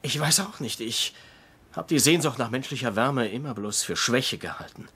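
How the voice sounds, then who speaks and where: A husky middle-aged mentor doctor in a dubbed TV comedy. He is gruff and sarcastic, with pitch that jumps around as he rants and insults. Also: animated, moderate pace, sharp tone. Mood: mock tender. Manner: biting, insulting, ranting. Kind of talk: German dubbed TV comedy acting.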